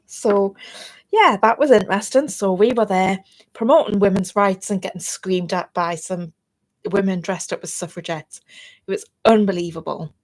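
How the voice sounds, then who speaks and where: A woman's voice: medium pitch, moderate pace. A woman with a North East English accent chatting to camera in a meandering way, her throat a little froggy and chesty. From North East England. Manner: chatty and meandering, drifting between topics. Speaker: vlogger. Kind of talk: casual chat to camera.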